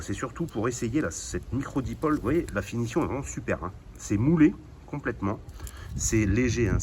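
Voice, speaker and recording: A man's voice: fairly low pitch, slight background noise. A man hosting a hobby DIY video, chatting informally and thinking aloud as he demonstrates by hand. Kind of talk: casual hands-on demonstration.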